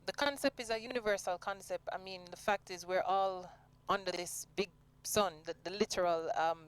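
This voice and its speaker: A woman's voice: mid-range voice, normal speed, poor audio. A woman with a Jamaican accent, talking earnestly and expansively as a singer being interviewed. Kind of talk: conversational radio interview.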